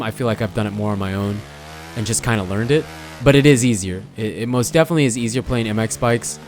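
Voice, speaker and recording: A young man with a hoarse, fading voice, chatting casually as he comments on his own gameplay, often stopping to correct himself. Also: mid-range voice. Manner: rambling, self-correcting, chatty. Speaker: gaming channel host.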